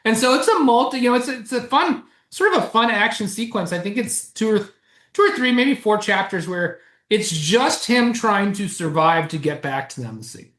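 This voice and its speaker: A man, a co-author guest in an interview, who explains at length in an animated way and chuckles often. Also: high-pitched, moderate pace. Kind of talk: casual interview chat. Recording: roomy echo.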